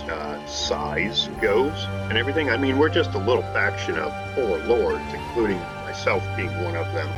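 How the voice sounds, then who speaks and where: A man hosting a gaming channel, giving casual commentary on his gameplay in a rambling way and often calling his viewers "guys.". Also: on the low side. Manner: rambling, addresses viewers as guys, frequent uh fillers. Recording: game music playing behind.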